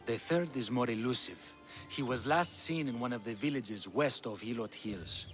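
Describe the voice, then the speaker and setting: An actor playing a shrewd, proud Spartan king in a video game, speaking with a Greek accent in a deliberate, wry, rhetorical way. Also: mid-range voice, a bit bright, soft background music, natural voice. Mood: grave, conspiratorial. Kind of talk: video game cutscene acting.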